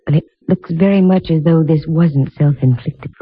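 A woman's voice: deep voice, moderate pace, slightly rough, no echo. An actress playing a shrewd, matter-of-fact female private detective in 1950s radio style, narrating her case with a wry edge. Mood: serious, candid. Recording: dull old radio recording with music.

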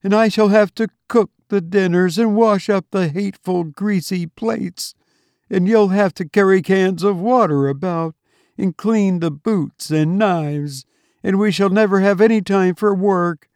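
Man doing tearful young wife, high pleading voice, slow, dry close sound. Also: darkish tone, smooth, no room sound. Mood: complaining. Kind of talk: audiobook character voice.

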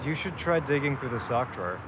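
An actor plays a laid-back, slightly clueless young man. His middling voice is casual and deadpan. The audio drama recording is muffled, with loud noise behind him. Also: medium pitch, fairly quick, lightly acted. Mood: goofy, easygoing. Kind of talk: audio drama acting, naturalistic.

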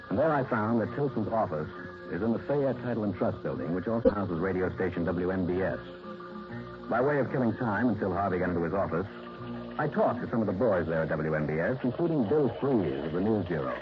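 An actor playing a dry, wisecracking insurance investigator who narrates and questions people in an old radio drama. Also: male voice, fairly low pitch, close to an everyday voice. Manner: dry, wry, matter-of-fact. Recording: dull old radio recording with music.

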